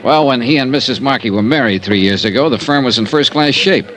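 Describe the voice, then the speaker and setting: An actor playing a dogged insurance investigator in an old-time radio drama, American, assertive and wry. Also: male voice, medium pitch, a little fast, fairly bright tone, lightly acted. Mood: reasoning. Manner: assertive, wry, hard-boiled. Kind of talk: old-time radio detective acting and first-person narration.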